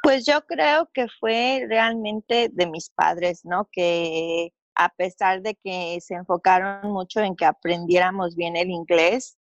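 A woman's voice: lowish voice, takes it slowly, few pauses, dry recording, dull audio. Earnest Mexican woman, dry close sound. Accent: Mexican Spanish. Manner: earnest, reflective, with frequent fillers and restarts. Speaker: interview guest. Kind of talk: conversational interview storytelling.

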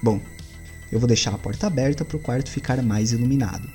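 Man doing a stern, caring father, firm and reassuring, mid-pitched voice, faint music behind. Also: a little fast, warm tone, lightly acted. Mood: firm, fatherly. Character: stern but caring father tucking in his son.

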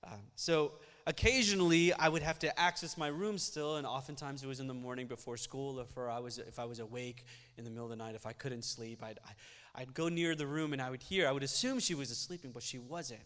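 A young man preaching a Sunday sermon as pastor, his first language Malayalam, speaking in an earnest, conversational way that grows more energetic as he preaches. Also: medium pitch, fairly quick. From United States. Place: church sanctuary. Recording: church hall reverb.

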